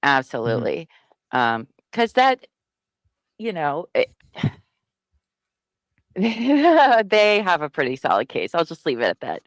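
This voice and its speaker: Brief: casual interview host, friendly, blunt, mid pitch, dry video call audio. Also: wildly swooping pitch, no echo. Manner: friendly, swears casually, slows down on key words. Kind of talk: casual chat.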